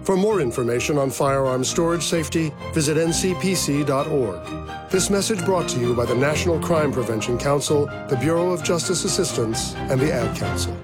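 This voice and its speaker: A male announcer reads a calm, measured public service message in an earnest tone. His voice sits slightly high, and a loud music bed plays underneath.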